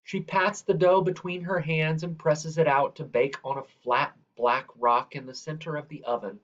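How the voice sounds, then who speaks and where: A man with a somewhat high-pitched voice reads a picture book to children. His phrasing is measured and deliberate. The recording is a little dull and lo-fi. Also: fairly even intonation, a bit bright. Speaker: reader. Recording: dull, lo-fi sound.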